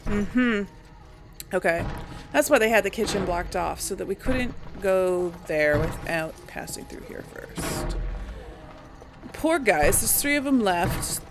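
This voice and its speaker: A woman narrates her game in a casual, musing way. Her voice is mid-pitched and gravelly with fry, with lively swings, over clear game music. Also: slow pace. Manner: musing, talks to self and audience. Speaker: game streamer, let's-player. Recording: game music and sounds behind.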